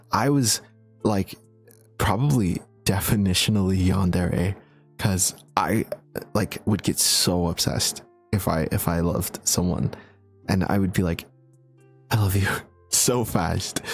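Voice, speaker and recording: Husky laid-back young man streaming. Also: low-pitched. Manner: laid-back, chatty, laughs often, many fillers. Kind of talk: casual livestream Q&A chat.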